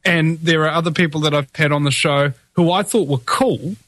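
A man's voice: on the high side, moderate pace, fairly bright tone, dry recording. A man hosting his own show, ranting defiantly in a solo monologue full of fillers and restarts, with a somewhat whiny voice. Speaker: podcast host.

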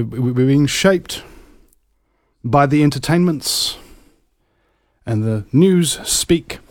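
Male talk radio host from New Zealand: casual, rambling, theatrical emphasis, mid-pitched, dry close sound. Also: darkish tone, no room sound. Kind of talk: casual live talk show monologue.

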